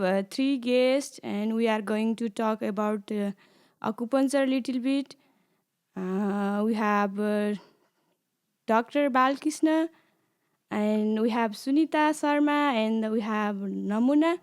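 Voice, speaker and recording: Female interviewer, higher register, halting phrasing, informal and unscripted. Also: a little mellow, clear, resonant tone. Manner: halting non-native phrasing.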